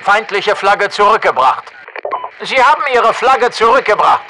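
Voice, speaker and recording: A man's voice: sits high, animated, measured pace, crowd noise, poor audio. A man playing an alert soldier who gives clipped status reports over a military radio in a video game.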